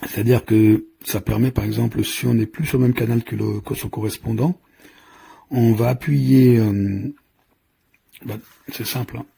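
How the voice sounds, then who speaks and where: A man's voice: medium pitch, fairly even intonation, moderate pace, vocal fry, warm tone, slightly rough. A man giving an informal hands-on product demonstration, explaining in a hesitant, improvised way as he goes. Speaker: product reviewer.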